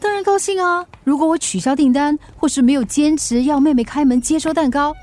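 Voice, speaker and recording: A woman's voice: high voice, darkish tone, natural voice. A woman playing a kind but stubborn young delivery worker in a radio drama. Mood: bright, earnest. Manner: coaxing and earnest, quick to snap back.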